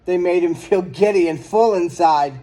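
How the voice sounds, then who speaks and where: A man's voice: high-pitched, moderate pace, dark tone, low-quality recording. A man reading a children's picture book aloud as a storyteller.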